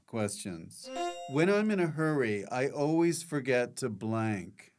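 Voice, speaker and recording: Slow-talking male podcast host. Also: mid-range voice, very animated, over music. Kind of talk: casual comic interview.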